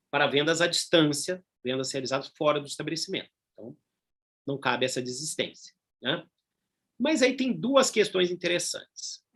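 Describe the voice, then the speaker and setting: A man's voice: fairly high pitch, fairly bright tone. A middle-aged man with a Brazilian Portuguese accent giving a conversational law lecture, full of tag questions and asides. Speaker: law professor.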